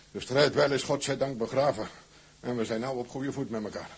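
A man playing a wary old tugboat owner in a period drama, husky and emphatic. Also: medium pitch, moderate pace. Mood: wary, firm. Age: older. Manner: emphatic, repetitive refusals.

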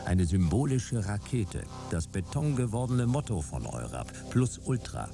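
A man's voice: fairly low pitch, sing-song, a little slow, gravelly, music in the background, full character voice. A man playing a detective who narrates his own case in a terse, wry noir style for a radio drama. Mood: dry, sardonic.

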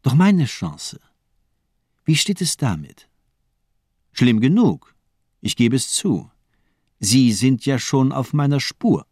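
A murderous doctor in a radio crime play. His delivery is precise and lecturing, with a lively, swooping melody that turns cold menace into mocking amusement. The sound is dry, with no room on it. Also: mid-range voice, slow, long pauses, slightly rough, dry recording, heavily acted. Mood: cold, taunting. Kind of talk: radio crime drama acting.

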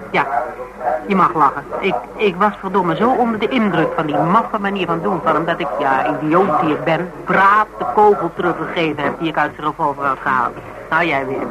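A woman's voice: sits low, natural voice, low-quality recording. An actress with a Netherlands Dutch accent playing an outspoken woman who argues her case emphatically, in colloquial and teasing radio drama dialogue. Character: outspoken woman reasoning stubbornly with a fanatic cop.

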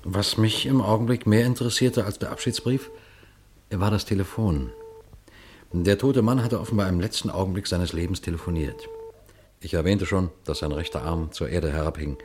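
Low male actor as police inspector for radio crime drama; composed, shrewd narration, noisy vintage-style recording. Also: darkish tone, natural voice. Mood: measured, observant. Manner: matter-of-fact, measured, inquisitive. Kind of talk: German radio crime play, first-person narration and dialogue.

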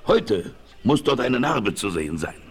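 A man's voice: medium pitch, sing-song, unhurried, husky. An actor playing an edgy, embittered old frontiersman in a radio play, plain-spoken and matter-of-fact. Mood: agitated. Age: older. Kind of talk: 1960s German radio play acting. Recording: faint noise, old vinyl record.

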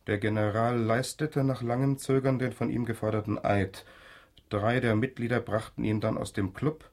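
A man's voice: mid-range voice, steady tone, normal speed, a little mellow, close to an everyday voice. An actor playing a grave, formal young man seeking the truth about his murdered father in a German radio drama. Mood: grave, measured.

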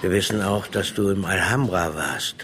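An actor in a German radio play playing a shrewd, threatening police inspector who interrogates bluntly in slang. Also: male voice, deep voice, normal speed, fairly bright tone, slight background noise. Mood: pressing, accusing.

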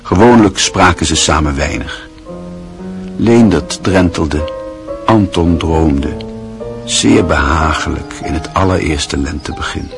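A man with a very husky voice reading scripted literary narration for a radio drama. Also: extremely low pitch, takes it slowly, over music.

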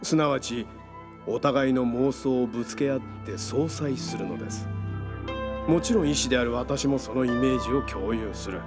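A man's voice: medium pitch, normal speed, over music, natural voice. An actor playing a confident psychotherapist who lectures in technical terms about his invention, in a radio drama. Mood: assured, explaining. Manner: lecturing, technical, self-assured. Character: confident male psychotherapist explaining his brain-linking invention.

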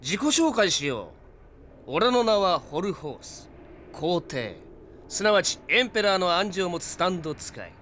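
An actor playing a suave, cocky, flirtatious gunslinger in an audio drama, smooth-talking and self-assured. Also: male voice, sits high, sing-song, normal speed, bright, forceful tone, slight background noise, full character voice. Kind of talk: Japanese anime-style audio drama acting.

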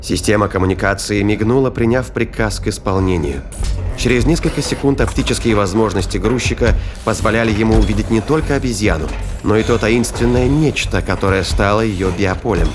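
A man with a fairly low voice narrates an audio drama. His delivery is measured and literary, with music underneath.

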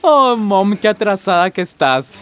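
A lively, swooping young man's voice for a trendy, scornful son in a radio soap. He drawls condescendingly and shows off with English slang. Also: high-pitched, a little slow, heavy background noise. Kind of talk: radio soap opera acting.

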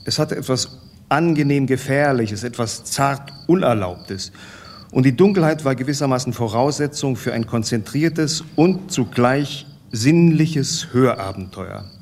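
A man reading an autobiographical essay in a measured, precise way. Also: mid-range voice, a little mellow. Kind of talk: scripted literary essay reading.

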